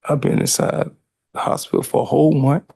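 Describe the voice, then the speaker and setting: A man's voice: on the high side, little pitch movement, brisk, creaky, mellow, slightly rough. A man testifying in a courtroom under cross-examination, answering emphatically and defensively in long run-on replies.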